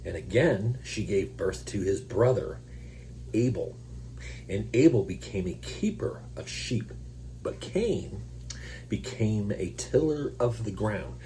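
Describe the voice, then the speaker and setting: A slow, deep-voiced man reads scripture and slips into relaxed, self-mocking commentary between the verses. Allergies keep him clearing his throat. A steady hum and noticeable noise sit under the recording. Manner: casual, self-deprecating asides between verses. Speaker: host reading scripture. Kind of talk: Bible reading with informal commentary. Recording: noisy recording with electrical hum.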